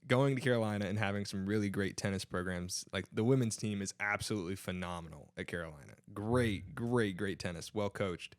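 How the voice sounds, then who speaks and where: A young man with a fairly low voice talks casually among friends as a podcast co-host. The sound is dry and close, with no room reflections. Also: brisk, few pauses, dry recording. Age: young adult. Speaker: podcast co-host and college club tennis player.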